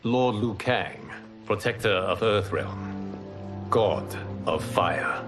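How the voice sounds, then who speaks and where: A grave, formal fire god, in a game cutscene. He speaks slowly in a low, even voice. Music plays behind him. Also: heavily acted. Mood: solemn. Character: calm solemn male fire god, Liu Kang. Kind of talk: video game cutscene acting.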